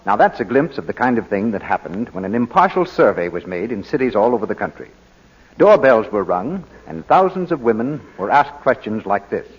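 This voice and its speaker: A man working as a radio announcer and pitchman, reading scripted advertising in 1940s style. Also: big pitch swings, brisk, slightly rough. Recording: muffled old 1940s radio broadcast.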